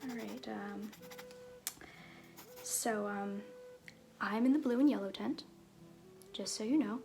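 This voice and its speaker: A woman playing an easygoing, slyly flirtatious circus fool in an ASMR roleplay, talking softly and hesitantly, often trailing into whispers. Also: mid-range voice, slow, vocal fry, over music. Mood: casual, reassuring. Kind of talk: ASMR roleplay, improvised-sounding casual talk.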